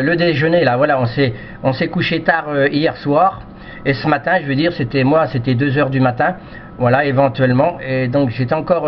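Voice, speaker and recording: Male radio hobbyist, mid-pitched, polite and hesitant, casual rambling chat, muffled lo-fi sound with slight noise. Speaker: amateur CB radio operator. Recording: dull lo-fi radio audio with noise.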